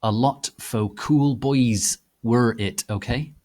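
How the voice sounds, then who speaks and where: A man's voice: mid-range voice, takes it slowly, darkish tone. A man voicing a defensive, petulant teenage girl author reading out notes to readers, indignant and emphatic.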